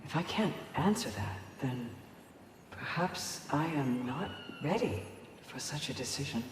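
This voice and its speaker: An actress playing a solemn, gentle and resolute valkyrie in a video game, speaking in measured, earnest phrases. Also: female voice, extremely low pitch, expressive. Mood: thoughtful, earnest. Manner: measured, deliberate, earnest delivery with pauses. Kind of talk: dramatic video game voice acting. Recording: echoey long reverb, faint background noise.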